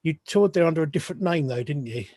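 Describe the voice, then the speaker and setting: Halting, self-correcting podcast host man. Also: on the high side, animated, darkish tone, no room sound, studio quality. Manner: halting, many restarts and apologetic asides, laughs often. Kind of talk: casual interview chat.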